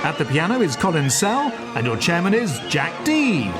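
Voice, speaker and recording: A British man works as a radio announcer, reading scripted introductions and credits. His delivery swings widely in pitch, with loud music and an audience behind him. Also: wildly swooping pitch, normal speed, a loud crowd.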